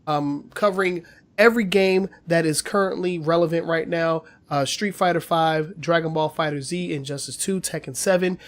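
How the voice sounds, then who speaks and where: A man's voice: a little high, normal speed. A man co-hosting a casual gaming news podcast, chatty with many fillers and slightly nasal from a cold. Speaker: podcast co-host.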